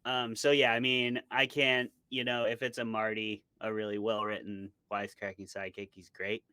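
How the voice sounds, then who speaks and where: A man's voice: lowish voice, flat delivery, normal speed, sharp tone, clean tone, no room sound. A man being interviewed as an author, chatting casually and thoughtfully about his opinions, often qualifying them with asides. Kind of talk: casual chat about horror tropes.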